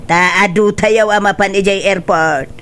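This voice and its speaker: A woman playing a cheery, doting mother of grown children in a comedic radio drama, with drawn-out delivery. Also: mid-range voice, steady tone, fairly bright tone, a little hiss or hum, lightly acted. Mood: cheerful. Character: cheery, doting mother of a returning overseas worker.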